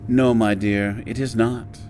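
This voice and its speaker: A man's voice: mid-range voice, fairly even intonation, a little mellow, a little hiss or hum, lightly acted. Man acting a courtly, ominous haunted-mansion innkeeper. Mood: grave storytelling. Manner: formal, measured, genteel. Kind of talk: horror audio drama acting.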